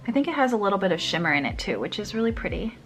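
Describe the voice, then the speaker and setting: A woman's voice: medium pitch, big pitch swings, rapid-fire. A young woman chatting casually through a makeup tutorial, a little sniffly, rambling and trailing off mid-sentence. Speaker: beauty vlogger.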